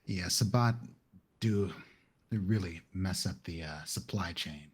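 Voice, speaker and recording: A man playing a wry, cocky young vampire in a tabletop roleplay, with a dry, deadpan delivery. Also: sits low, measured pace, husky. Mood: wry, needling.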